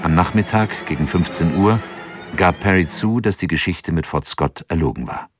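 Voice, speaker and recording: A man narrating a radio drama in a calm, measured way. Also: deep voice, faint music behind. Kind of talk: scripted narration.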